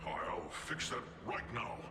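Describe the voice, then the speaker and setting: A man's voice: slow, natural voice. Male actor as a theatrical, eccentric dandy; echoey space, music behind. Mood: showy. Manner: theatrical and showy, swinging up in pitch. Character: flamboyant, eccentric, boldly dressed man.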